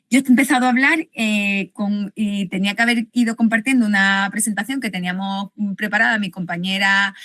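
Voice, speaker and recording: A woman's voice: mid-range voice, fairly bright tone, no echo. Chatty woman presenter, dry sound. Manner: loose and conversational, frequent fillers. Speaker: researcher and co-presenter. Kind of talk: academic conference presentation.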